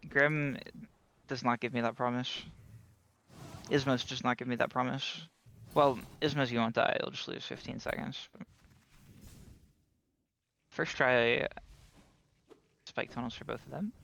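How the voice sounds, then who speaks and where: A man calls a live speedrun race for an online audience. His voice sits at an average pitch, and he talks in a relaxed, analytical way, breaking down routes and strategy between small asides. He sounds easygoing and knowledgeable, like a regular of the show. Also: measured pace, creaky, long pauses. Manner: casual, analytical, explains strategy, occasional laughs. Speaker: commentator. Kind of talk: live esports commentary.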